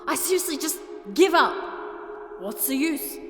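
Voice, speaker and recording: Unsure young fairy princess, highish voice, defeated and fretful, echoey with music behind. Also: female voice, animated, unhurried. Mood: hopeless. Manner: defeated, fretful, self-deprecating. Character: self-doubting, despairing young fairy princess. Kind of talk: audio drama acting. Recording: echoey hall, music underneath.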